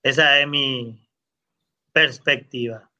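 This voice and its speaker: A man's voice: medium pitch, steady tone, slow pace, bright, forceful tone. A man speaking casually as a panelist over dull, lo-fi audio. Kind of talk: casual group discussion.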